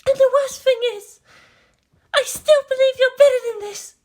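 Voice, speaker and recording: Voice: very high-pitched, moderate pace, darkish tone, pitched above the performer's own voice. A narrator voicing an anguished young woman, fierce and pleading, in an emotional audiobook-style story reading.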